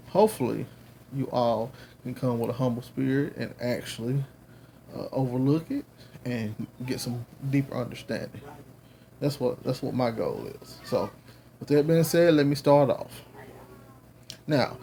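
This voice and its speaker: Gravelly Southern man teaching. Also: medium pitch, warm tone, slight background noise. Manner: earnest, rambling, many fillers and restarts. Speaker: Bible teacher. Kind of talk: home-recorded Bible lesson. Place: home with children and babies around.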